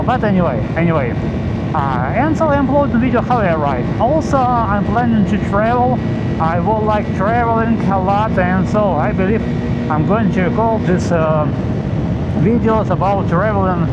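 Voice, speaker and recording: A man with a Belarusian accent, English not his first language, chatting haltingly with many fillers while riding a motorcycle through town in the rain. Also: high-pitched. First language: Belarusian and Russian. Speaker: motorcycle vlogger. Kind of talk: casual chat while riding. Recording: muffled lo-fi with loud road noise. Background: loud traffic and wind noise.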